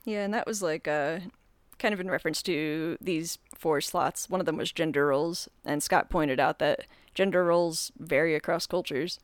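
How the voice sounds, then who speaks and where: A man's voice: fairly high pitch, moderate pace, clean tone, no room sound. A man co-hosting a podcast, explaining things in an easygoing way. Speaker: podcast co-host. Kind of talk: casual discussion.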